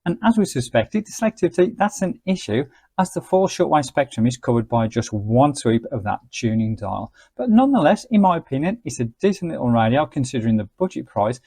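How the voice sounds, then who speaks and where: Male, mid-pitched, slightly raspy, dry close recording, review narration. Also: big pitch swings, brisk, mellow, no room sound. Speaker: reviewer and presenter of gadget videos. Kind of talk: scripted-sounding product review narration.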